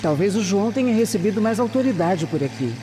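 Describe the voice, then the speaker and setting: A woman playing a shrewd, seasoned Brazilian investigator in an audio drama, serious and measured. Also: medium pitch, expressive, mellow, big-room sound, slight background noise, natural voice. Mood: grave, measured. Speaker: lead actor and first-person narrator. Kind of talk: audio drama acting and scripted narration.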